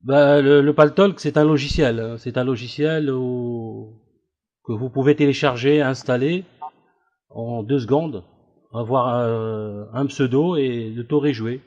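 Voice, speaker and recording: A man who hosts an online debate room, talking casually on a call and explaining things in a hesitant, halting way. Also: mid-range voice, darkish tone. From Italy. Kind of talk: casual phone conversation. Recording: dull lo-fi recorded call.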